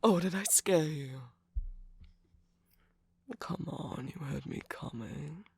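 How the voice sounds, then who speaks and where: A man acts out a jaded, teasing villain's minion in a romantic ASMR roleplay. He drawls at an unhurried pace, breathy and husky with a gravelly fry, often slipping into whispers. The recording is close and dry. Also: fairly high pitch, no room sound, heavily acted. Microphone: close microphone, dry sound.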